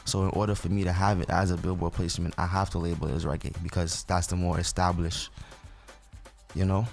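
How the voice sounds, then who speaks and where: Deep-voiced man with Bajan-American accent. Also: flat delivery, fairly quick, vocal fry, soft background music. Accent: Barbadian mixed with American. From Barbados. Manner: laid-back, rambling, lots of fillers. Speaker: soca artist. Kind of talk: casual interview answers.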